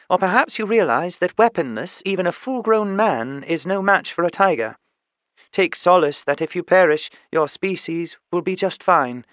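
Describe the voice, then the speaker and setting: A man's voice: a little high, a bit bright, dry recording, muffled recording, pitched above the performer's own voice. A man voicing a huge female chimpanzee, an articulate, earnest activist with an educated accent, in an audiobook. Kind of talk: audiobook character voice.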